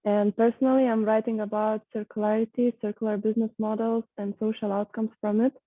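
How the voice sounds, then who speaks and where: A woman's voice: a little high, flat delivery, a little fast, dry recording. A woman from Lithuania, a university student, speaking matter-of-factly from a library on a video call. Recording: tinny phone-like line.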